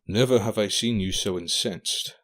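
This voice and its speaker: A man playing a weary, dignified older king and gentle father in an audio drama, formal and measured. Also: fairly low pitch, a little hiss or hum, lightly acted. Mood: weary, shaken.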